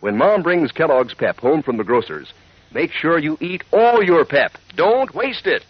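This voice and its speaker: A man's voice: a little high, very animated, unhurried. An American man delivering a chummy, folksy, enthusiastic 1940s radio advertisement. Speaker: radio sponsor pitchman. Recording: muffled 1940s radio recording.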